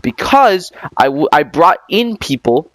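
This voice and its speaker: A man's voice: a little high, very animated, unhurried, fairly bright tone, no room sound. A man hosting a podcast interview, talking in a loose, rambling way with many fillers and restarts. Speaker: podcast host. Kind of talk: casual interview chat.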